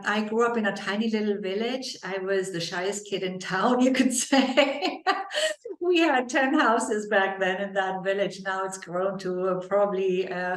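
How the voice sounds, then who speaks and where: A woman from Germany with a German accent, a guest on a podcast talking about her life in a relaxed, reflective way and laughing lightly now and then. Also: mid-range voice, roomy sound. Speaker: podcast guest, leadership coach. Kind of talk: casual interview conversation.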